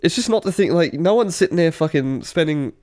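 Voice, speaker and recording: A man's voice: a little high, lively intonation, fairly quick, darkish tone. A young man co-hosting a casual podcast, congested, rambling with lots of "like" and swearing. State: congested, snotty. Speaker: podcast co-host. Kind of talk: casual chat.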